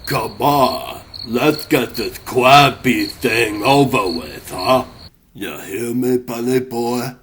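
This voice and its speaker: A man voicing a gruff, grumbling tough-guy pig hero with surly, impatient, streetwise talk in a cartoon comic dub. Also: mid-range voice, expressive, slow pace, a bit bright, slight background noise, voice pushed down. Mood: impatient, menacing.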